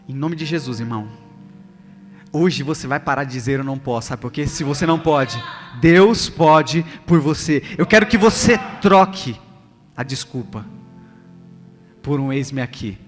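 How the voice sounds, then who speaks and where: A man's voice: medium pitch, animated, normal speed, long pauses. A male pastor with a Brazilian accent preaching a sermon to a congregation, emphatic and repetitive, putting rhetorical questions to his listeners. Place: large church hall, preaching live. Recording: long hall reverb, music underneath.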